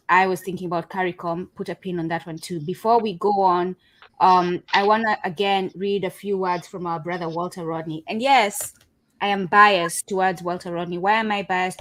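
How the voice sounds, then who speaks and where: A woman from Kenya, a Kiswahili speaker, leads a panel discussion. Her delivery is earnest and preachy, and she pauses often on "um" and "uh". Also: medium pitch. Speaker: host. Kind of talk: panel discussion on video call.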